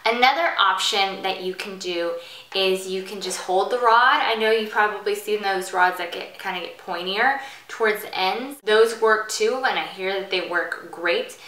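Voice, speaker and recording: A woman's voice: mid-range voice, normal speed, bright, forceful tone, roomy sound, poor audio. A woman hosting a casual step-by-step hairstyling tutorial, explaining in an instructional way.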